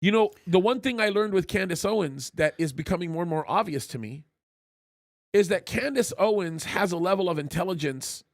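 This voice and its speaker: A high-pitched man hosting a live political talk show, pressing his points with emphasis. His voice sits well above the usual male range, and the sound is close and dry, with no room in it. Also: dry recording. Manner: emphatic, repeats words when starting a point. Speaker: pastor and show host.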